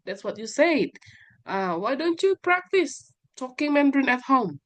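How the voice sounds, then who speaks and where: A woman's voice: sits high, very animated, a little slow, a bit bright. Woman teacher on a video call. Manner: encouraging, mixes in Indonesian fillers. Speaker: English teacher. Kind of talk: online class moderation.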